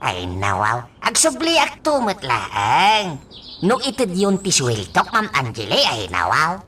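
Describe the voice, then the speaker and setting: Sassy comic maid in ilocano radio drama, drawly, swoops all over, bright sharp voice. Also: female voice, low voice, wildly swooping pitch, unhurried, a little hiss or hum, full character voice. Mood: sassy, complaining. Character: sassy, comic household servant, fond of a catchphrase.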